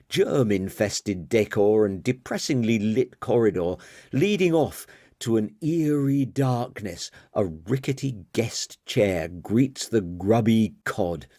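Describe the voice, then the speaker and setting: Slow, low-pitched male audiobook narrator. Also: darkish tone, no room sound, studio quality. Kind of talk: scripted literary narration.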